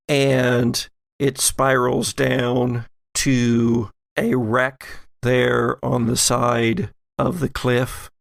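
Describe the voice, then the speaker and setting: A man narrating a tabletop game as game master, setting scenes cinematically in deliberate short phrases. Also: mid-range voice, creaky, dry recording, clean studio sound. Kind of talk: descriptive improvised narration for audio-drama tabletop game.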